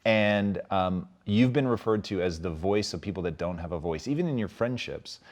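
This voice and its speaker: A man's voice: sits low, lively intonation, moderate pace, a little mellow. A man hosting an interview, asking questions in an emphatic, admiring way. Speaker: host.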